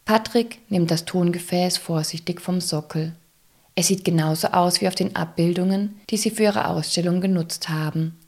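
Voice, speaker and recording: A woman's voice: lowish voice, fairly even intonation, moderate pace. A woman reading scripted narration for an audio drama.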